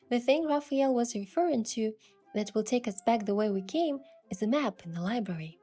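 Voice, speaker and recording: A woman voicing a curious young adventurer heroine, telling the story in the first person with earnest, expressive delivery. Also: fairly high pitch. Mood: curious, puzzled. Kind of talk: scripted first-person narration and dialogue. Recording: music behind the voice.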